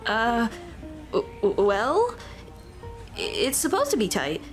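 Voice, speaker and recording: A woman's voice: on the high side, huge pitch swings, takes it slowly, exaggerated voice. A woman playing a shy, flustered monster girl in an ASMR roleplay, with a nervous, stammering, rambling way of talking. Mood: nervous, bashful. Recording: music behind the voice.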